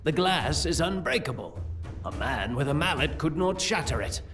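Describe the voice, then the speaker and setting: A gloating villainous priest, swooping wildly. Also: male voice, fairly high pitch, very animated, fairly bright tone, recorded from a distance, soft background music. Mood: excited gloating. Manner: theatrical, drawn-out, exclamatory gloating. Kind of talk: audio drama acting.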